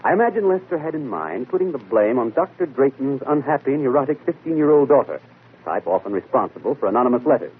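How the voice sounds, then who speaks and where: Brief: confident male sleuth, vintage radio mystery, husky and fast, didactic question-and-answer delivery. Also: medium pitch, very animated. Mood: brisk, assured deduction. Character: confident, methodical male amateur detective, explaining his deductions. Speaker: detective and show host. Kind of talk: 1940s radio mystery acting. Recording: muffled, lo-fi vintage radio broadcast.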